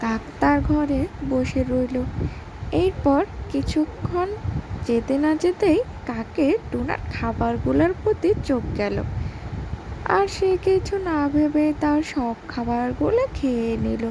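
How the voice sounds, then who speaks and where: A woman's voice: high voice, animated, a little slow, vocal fry, background noise. A woman narrating a scripted children's story. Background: music.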